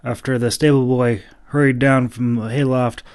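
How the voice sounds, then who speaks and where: A man narrating a fantasy audiobook, reading scripted prose with some halting stumbles and restarts. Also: medium pitch, fairly even intonation, darkish tone.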